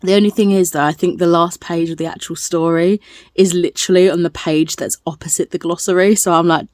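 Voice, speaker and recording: A woman with a slightly low voice, recorded close and dry with very little room sound. She chats casually like a book vlogger, rambling along in a playful, self-deprecating way and dropping in silly turns of phrase. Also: fairly even intonation, fairly quick, darkish tone, dry recording. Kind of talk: casual chatty vlog to viewers.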